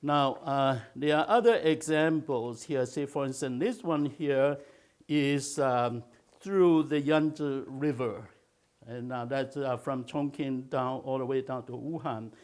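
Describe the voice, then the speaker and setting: An older man from China, raised in Malaysia, teaching a watercolor technique in a slow, halting way with many filler sounds. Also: mid-range voice. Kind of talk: instructional video talk.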